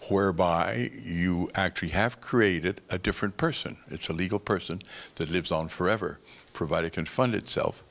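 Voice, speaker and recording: A man's voice: deep voice, moderate pace, gravelly, hardly any pauses, fairly bright tone. A man speaking on a conference panel, discursive and explaining with homely analogies.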